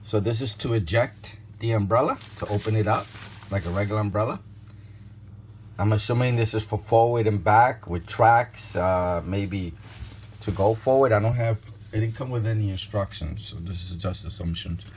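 A man's voice: fairly low pitch, moderate pace, a little mellow. A man giving casual unboxing commentary as a product reviewer, tentative and thinking aloud. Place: indoors, at a kitchen counter. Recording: clearly noisy home recording.

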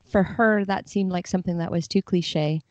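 A woman's voice: on the low side, steady tone, normal speed, a little mellow, dry recording. A woman talking reflectively and thoughtfully in conversation as an interview guest. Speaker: podcast guest, literary translator. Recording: slightly dull lo-fi audio.

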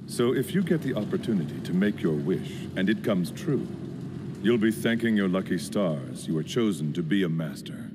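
Anime dub priest: low, formal, smugly composed, with expressive swoops, portentous delivery. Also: male voice, background noise. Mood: solemn, portentous. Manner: formal, measured, theatrical, portentous. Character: solemn, smugly composed adult male priest overseeing a deadly ritual. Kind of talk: English anime dub acting. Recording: long hall reverb under loud music.

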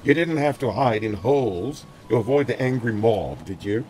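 A man's voice: mid-range voice, expressive, normal speed, a little mellow, a little hiss or hum, voice pushed up, close to an everyday voice. A male narrator voicing a big, wary warrior with a dry, teasing manner for an audiobook. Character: big gruff warrior man, wary and sardonic. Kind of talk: audiobook character voice.

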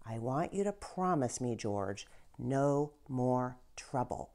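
A young aunt, twenty-two years old, playful with the children yet firm when she lays down the rules, as a woman reading aloud puts her on. She speaks low and warmly, teasing one moment and gently scolding the next. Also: sing-song, moderate pace. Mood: serious.

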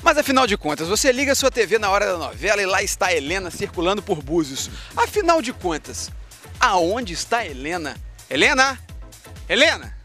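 Brief: young Brazilian man, lively high voice, joking street interviewer, handheld mic outdoors with music underneath. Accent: Brazilian Portuguese. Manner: teasing, quick questions to passersby. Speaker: show host and street reporter. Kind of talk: playful street vox pop.

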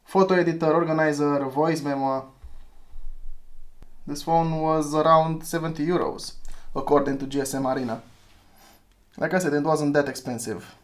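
A chatty man talks through a hands-on gadget review, thinking aloud and chuckling at his own slip-ups. His voice sits a little higher than most men's. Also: fairly even intonation, moderate pace, pauses often. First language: Romanian. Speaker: retro phone reviewer.

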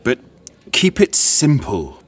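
Audio drama: older sarcastic grump, reluctant delivery with lively swings in pitch. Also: male voice, takes it slowly, a little hiss or hum. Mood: grudging, sarcastic. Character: Gordon, grumpy sarcastic older widower, retired teacher.

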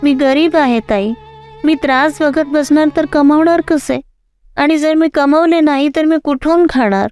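A woman's voice: measured pace, a little mellow, faint music behind. A woman voicing a meek pregnant rickshaw driver. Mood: earnest. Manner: pleading, high-pitched.

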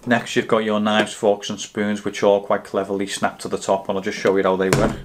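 A man reviews gear in a casual, explanatory way. His voice is fairly low and keeps to a very even pitch. There is faint noise behind him as he talks straight to the camera. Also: fairly quick. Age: 30s to 40s. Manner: matter-of-fact, explanatory.

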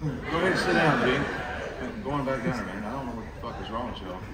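A man running a comedy hypnosis show on a theater stage, brash and joking, with slow soothing countdowns. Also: mid-range voice, lively intonation. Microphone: handheld mic through PA, filmed from audience. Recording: echoey theater PA from far off, loud crowd.

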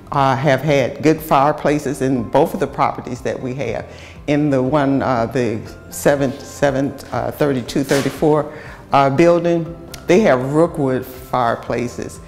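An older woman, a housing executive, speaking in a measured, hesitant way about residents, on a lapel mic. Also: a bass voice, darkish tone. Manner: measured, hesitant, many ums. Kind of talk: documentary interview. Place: empty house, indoors. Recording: echoey room with long reverb.

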